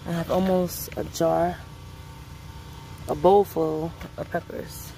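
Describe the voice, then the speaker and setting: A woman's voice: fairly low pitch, flat delivery, slow, gravelly, background noise. A woman from Brooklyn, chatting casually to viewers while picking peppers in a sunny outdoor garden, filmed on a phone. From Brooklyn, New York City. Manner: chatty, addresses viewers as guys. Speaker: homestead vlogger.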